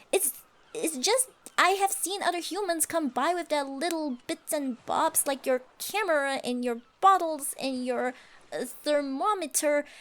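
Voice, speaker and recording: A woman voicing a proud, scoffing tsundere siren with stiffly formal phrasing in an ASMR roleplay. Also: sits high. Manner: haughty, scoffing, flustered stammers, archaic formal phrasing. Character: haughty tsundere siren, proud, secretly smitten sea creature.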